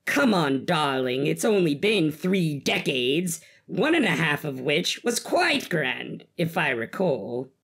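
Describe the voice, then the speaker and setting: A man putting on a haughty creaky drawling duchess. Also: a little high, big pitch swings, slow pace, hardly any pauses, a bit bright, pitched above the performer's own voice, exaggerated voice. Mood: dry, haughty. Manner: imperious, theatrical, drawling. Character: haughty, angry aristocratic duchess, sharp-tongued wife. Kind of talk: audio fiction character voice.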